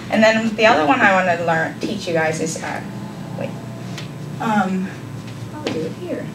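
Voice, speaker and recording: Young woman teaching in an echoey room. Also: mid-range voice. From Hong Kong. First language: Cantonese. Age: young adult. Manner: hesitant, lots of ums, light laughs. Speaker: volunteer language teacher. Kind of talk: live classroom lesson. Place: classroom. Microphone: far camera. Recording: echoey room from far off, noisy.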